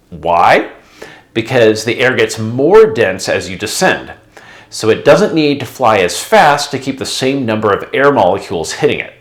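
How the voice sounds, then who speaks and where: Man, scripted explainer narration, mid-range pitch, very varied intonation, instructive and conversational. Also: fairly bright tone. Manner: didactic, conversational. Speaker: presenter, former air traffic controller.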